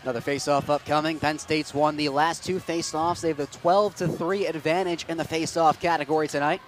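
A young man calling ice hockey play-by-play with rapid, steady narration that rises to excited shouts on goals, on a headset mic in an arena booth. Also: a little high, slight background noise. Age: young adult. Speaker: play-by-play announcer.

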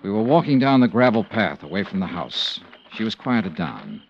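An actor playing a tough but tender-hearted investigator in a 1950s radio detective drama, speaking in a clipped, understated way. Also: male voice, lowish voice, sing-song, moderate pace, gravelly. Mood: sober narrating. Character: tough but tender-hearted male insurance investigator narrating his case. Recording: muffled old radio recording, music behind.

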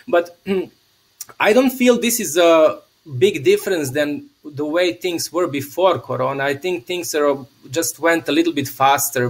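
Man from Serbia on a video call. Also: fairly high pitch. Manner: thoughtful, rambling with many restarts and laughs. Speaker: guest, theatre artist. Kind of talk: casual conversation. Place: small home room, evening. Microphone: video call webcam.

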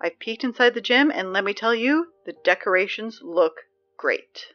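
A woman playing Sammy, an excitable teen girl reading the school announcements in an audio drama, chatty with sarcastic asides. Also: medium pitch, moderate pace, lo-fi sound, faint music behind, natural voice.

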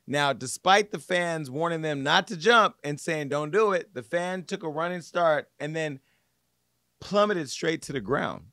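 A man's voice: fairly high pitch, animated, measured pace, bright, forceful tone, dry recording, clean studio sound. A man in his forties hosting a podcast with casual comedic commentary, talking in slangy, mocking, sassy style. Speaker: podcast host. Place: podcast studio. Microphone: close studio microphone on desk.

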